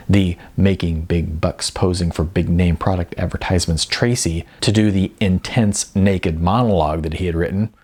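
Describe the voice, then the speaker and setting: A man reads a story aloud as its narrator. His voice sits fairly low and moves through lively, varied pitch, giving the storytelling plenty of expression.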